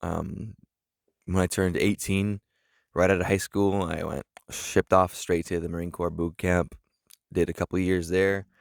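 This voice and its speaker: Earnest young man with a deep, gravelly voice. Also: no room sound, studio quality. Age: 20s. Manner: earnest, halting, many fillers and restarts. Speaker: vlogger. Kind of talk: casual talk to camera.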